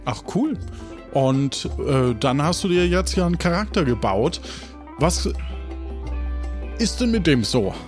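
A man with a fairly high, lively voice acts as host and game master. He narrates an improvised adventure unhurriedly and theatrically, with some music around him. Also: measured pace, long pauses.

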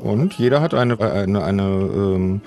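A man's voice: fairly low pitch, a little slow, warm tone, faint music behind. A man improvising as a player in an audio adventure, casual, flustered and a bit muddled. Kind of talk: casual improvised role-play.

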